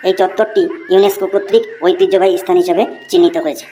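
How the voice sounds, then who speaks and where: A woman's voice: medium pitch, steady tone, fast, dark tone, slight background noise, lightly acted. A woman voicing a cheeky schoolboy who recites a long essay by rote in a cartoon. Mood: recitation. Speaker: narrator and channel host. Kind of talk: cartoon voice acting.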